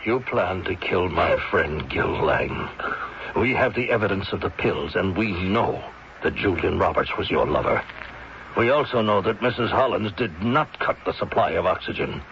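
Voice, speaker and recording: Low, gravelly, grave man. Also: animated, normal speed, a bit bright. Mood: stern, accusing. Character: steady, grave friend who exposes a murder plot. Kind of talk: 1970s radio drama acting. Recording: muffled, hissy 1970s radio recording.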